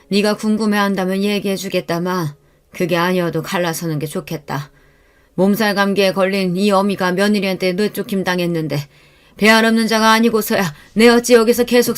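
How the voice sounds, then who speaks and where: A woman's voice: medium pitch, close to an everyday voice. A woman playing a stern older mother-in-law, solemn and scolding, in a radio-style story.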